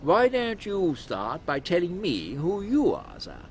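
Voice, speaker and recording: A man's voice: high voice, wildly swooping pitch, measured pace, a little hiss or hum, full character voice. A man playing an officious Victorian London policeman in a comic audio drama, matter-of-fact and dryly grim.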